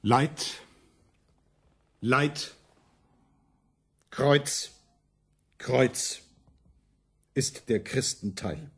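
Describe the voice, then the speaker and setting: An actor in a German radio play voicing a stubborn, self-righteous religious reformer who declaims dogmatically and sides with the princes. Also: male voice, medium pitch, extremely slow, pauses often, fairly bright tone, slightly rough, exaggerated voice. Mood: dogmatic.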